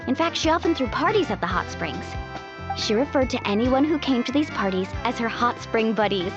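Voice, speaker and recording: Woman as a high, breezy young game guide. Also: expressive, a little fast, lightly acted. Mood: earnest. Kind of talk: anime video game voice acting. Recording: muffled game audio under music.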